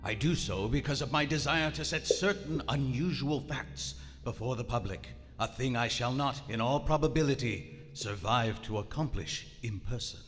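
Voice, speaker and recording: A man's voice: medium pitch, moderate pace, hardly any pauses, fairly bright tone, natural voice. A man playing a proud, cold German naval captain in a radio drama, speaking in a formal, precise, stiff way. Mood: grave, formal. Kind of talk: live radio drama, first-person narration and orders. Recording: live theater, long hall reverb. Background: music.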